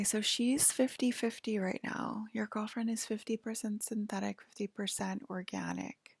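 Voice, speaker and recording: A woman doing a soft-spoken, whispery ASMR role-play as a calm alien guide, talking haltingly and matter-of-factly close to the mic. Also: medium pitch, no echo. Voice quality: breathy, whispery. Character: calm alien abductor guiding a patient aboard a UFO.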